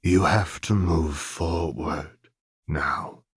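A man voicing a gentle, soothing middle-aged father who speaks in a hushed, tender, deliberate way in an audiobook. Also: low-pitched, slightly rough, no echo, studio quality, exaggerated voice. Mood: tender, grave.

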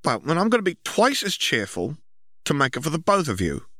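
A man's voice: a little high, huge pitch swings, a little fast, sharp tone, dry recording, studio quality, pitched above the performer's own voice, heavily acted. A man voicing a spirited, blunt teenage girl, a cheeky younger sister, in an audiobook story. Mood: bright, insistent. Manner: blunt, interrupting.

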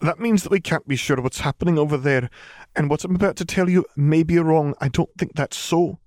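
A stern older witch professor, played by a man in an audiobook story. The voice is lifted high and sounds close and dry. She speaks formally and gravely, in measured explanations. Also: darkish tone, no echo, lightly acted. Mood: grave, careful.

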